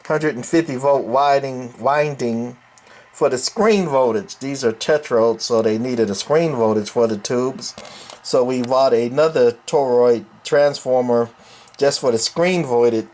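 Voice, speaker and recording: A man giving a casual, hesitant equipment demonstration as a hobbyist presenter, often pausing with ums. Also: mid-range voice, darkish tone. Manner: hesitant, halting delivery with frequent ums and pauses. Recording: noticeable background noise.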